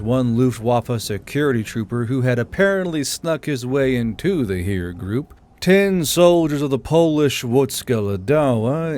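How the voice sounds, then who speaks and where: A man's voice: mid-range voice, a little mellow. A man reading scripted military fiction as an audiobook narrator.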